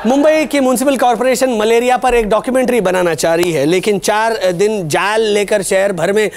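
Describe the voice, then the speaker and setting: High-voiced mocking comedy host. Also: male voice, fairly quick. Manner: mocking, playful, chuckles at own jokes. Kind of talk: satirical news comedy. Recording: loud studio audience and music. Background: audience laughter and music.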